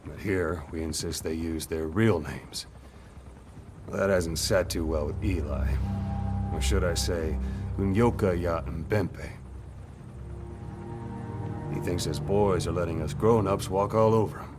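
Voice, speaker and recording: An actor playing a commanding, gruff soldier and drill instructor in a video game cutscene, lecturing in a stern, theatrical way. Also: male voice, deep voice, a little slow, pauses often, music in the background. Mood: instructive, wry.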